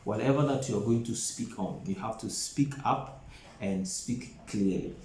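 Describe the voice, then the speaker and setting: Low-voiced male tutor in an echoey room. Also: a little mellow. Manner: deliberate, repeats phrases for emphasis. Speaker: exam preparation tutor. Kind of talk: instructional lecture to camera. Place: small plain indoor office. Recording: roomy echo, faint background noise.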